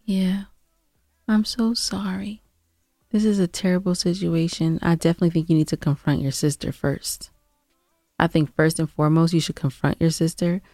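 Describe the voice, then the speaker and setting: A woman with a low-pitched voice co-hosts a casual advice discussion. She sounds earnest and opinionated as she spells out her points, and the recording is dry, with little room sound. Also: warm tone, dry recording. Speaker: podcast co-host giving advice.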